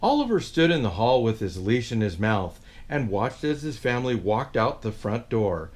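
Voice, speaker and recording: Animated man reading a picture book aloud. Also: medium pitch, moderate pace. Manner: animated, with playful asides to young listeners. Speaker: storyteller in a Santa persona.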